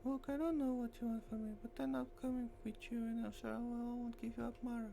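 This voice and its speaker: A woman's voice: a little high, level tone, fast talker, gravelly, dark tone, slight background noise. A woman playing a blunt, weary female squad leader in a visual novel. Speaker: reader of a game character's lines. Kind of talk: acted reading of visual novel dialogue.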